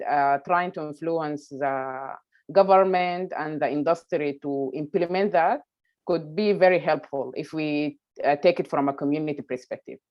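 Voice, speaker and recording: Woman panelist from sudan, pretty low voice, halting delivery, muffled lo-fi call audio. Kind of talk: unscripted panel remarks. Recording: dull, lo-fi video call audio.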